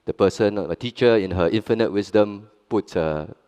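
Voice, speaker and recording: A man's voice: fairly low pitch, moderate pace, mellow, clear, resonant tone, dull audio. A middle-aged man from Singapore with a Singaporean English accent, whose first language is Hokkien, speaking earnestly on a conference panel with frequent restarts and wry asides. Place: large conference hall. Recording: reverberant conference hall.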